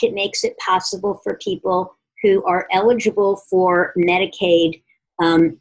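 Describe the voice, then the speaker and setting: A woman answering questions as an advocacy speaker at a press conference, speaking formally and with many halting hesitations. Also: lowish voice, husky, poor audio. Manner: halting, heavily hesitant, formal policy phrasing.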